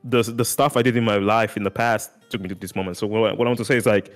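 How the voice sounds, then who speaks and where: A man's voice: on the low side, fairly even intonation, quick pace, faint music behind. Earnest, emphatic man chatting. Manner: earnest, emphatic, says "dude" and "like" a lot. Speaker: music content creator guest. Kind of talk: casual podcast chat.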